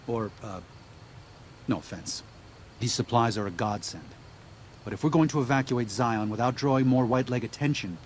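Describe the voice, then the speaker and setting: A man playing an earnest, gentle missionary in a video game, talking in a mild, sincere and slightly hesitant way. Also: mid-range voice, a little hiss or hum, natural voice. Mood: relieved, weary. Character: earnest, gentle missionary leader guiding wasteland tribes.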